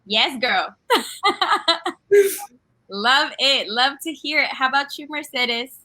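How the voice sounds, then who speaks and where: A Colombian-born woman hosting a casual panel chat, upbeat and quick with affirmations. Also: high voice, animated, pushed and bright. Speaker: host.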